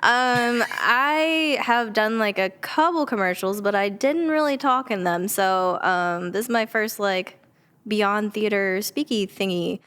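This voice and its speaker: A woman's voice: mid-range voice, a little slow, fairly bright tone, clean tone. A woman who is a theatre actor, chatting casually as an interview guest, informal and peppering her talk with "like". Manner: informal, hedging with frequent "like". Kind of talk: casual chat.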